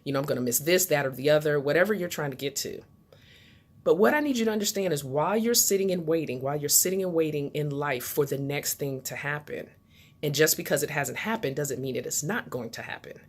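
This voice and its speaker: Low-pitched woman giving a motivational talk. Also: lively intonation, fairly quick. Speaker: motivational speaker and channel host.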